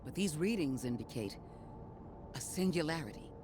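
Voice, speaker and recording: An earnest, scholarly guide, played by a man briefing a soldier in a video game. The delivery is articulate and analytical with an urgent edge. Loud ambience and engine rumble sit behind the voice. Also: animated, moderate pace, lightly acted. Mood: grave, concerned. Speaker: mission guide. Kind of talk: scripted video game dialogue.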